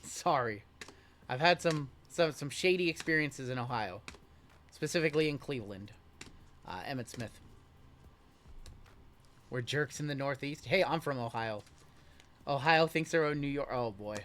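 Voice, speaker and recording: A man's voice: medium pitch, a little slow, long pauses, fairly bright tone, a little hiss or hum. A man hosting a live card break, chatting casually and reading the chat aloud with a joking manner. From Iowa. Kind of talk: casual live-stream chat while opening cards.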